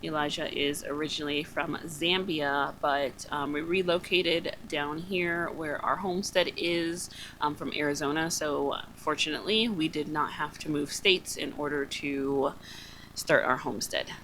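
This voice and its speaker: A young woman with a fairly low voice chats loosely as she cooks, with plenty of ums and restarts. She is heard on a camera mic as she moves around a home kitchen, with a faint hum of background noise behind her. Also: steady tone, unhurried, fairly bright tone, rough recording. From California. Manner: chatty, loose, many ums, talks while cooking. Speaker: homestead vlogger. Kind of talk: casual cooking vlog chat.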